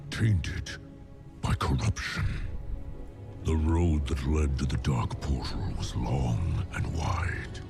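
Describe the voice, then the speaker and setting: An actor playing a weary, grizzled old orc warrior, bitter and solemn, with a gravelly growl in a game cinematic. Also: male voice, extremely low pitch, heavily acted. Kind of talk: dramatic game cinematic acting. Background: cinematic music.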